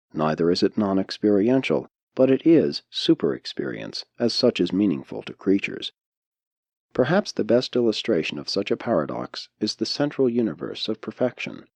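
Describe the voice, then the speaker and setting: A man narrating a spiritual audiobook from a script in a measured, formal way with deliberate enunciation. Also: fairly low pitch, vocal fry, darkish tone, dry recording. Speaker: audiobook reader.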